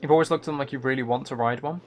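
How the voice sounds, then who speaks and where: A man with a mid-pitched voice talks casually as he streams a game. He rambles a little, hesitating and questioning his own thoughts. Background noise is clearly audible, along with typing and clicking. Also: rapid-fire. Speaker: livestream host. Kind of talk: casual gaming livestream chat.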